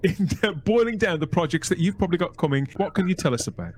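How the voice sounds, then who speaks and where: A man's voice: high-pitched, animated, fast talker. A man hosting a podcast interview over a video call, upbeat and chatty, thanking guests and pointing listeners to links. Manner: upbeat, wraps up with thanks and plugs. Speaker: podcast host. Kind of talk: casual interview chat.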